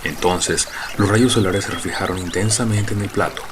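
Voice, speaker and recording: A man narrating an audio drama in a measured, scripted storytelling style. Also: low voice, steady tone, noisy background.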